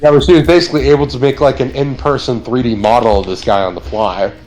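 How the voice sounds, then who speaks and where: A laid-back, wisecracking superhero played by a man in loose improvised banter. His voice sits at an ordinary middle pitch. He drops dry jokes and stretches out his filler words. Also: faint music behind, lightly acted, poor audio. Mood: easygoing banter. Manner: relaxed, dry quips, drawn-out fillers. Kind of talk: improvised in-character chat.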